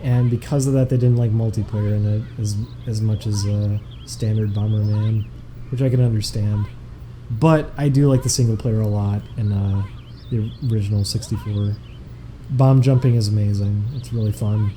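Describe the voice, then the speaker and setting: A young man chatting casually on a game stream, reading out donation messages in a relaxed, meandering way. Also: lowish voice, normal speed, a little hiss or hum.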